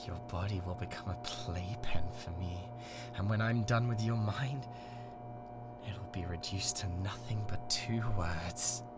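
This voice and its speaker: A young British man voicing an obsessive, menacing young male streamer in an ASMR audio drama, swinging between whispers and shouts. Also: sits low, a little slow, over loud music. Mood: cold menace. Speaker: voice actor.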